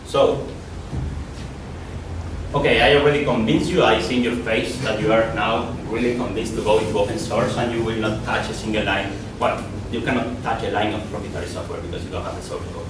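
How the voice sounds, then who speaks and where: A man with a Spanish accent gives a loose, rambling conference talk in a rather low voice, doubling back on his words as he goes. He sounds far from the mic, in a big echoing hall with a steady hiss of noise behind him. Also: a little fast. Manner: casual, repeats and restarts words, rambling asides. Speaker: conference speaker. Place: lecture hall. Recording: echoey hall from far off, noisy.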